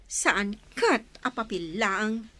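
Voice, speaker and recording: A woman's voice: medium pitch, expressive, slow, fairly bright tone, dry recording. A woman playing a scheming, lovesick young woman in an Ilocano radio drama, with a dramatic, defiant delivery. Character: scheming, possessive young woman obsessed with a man.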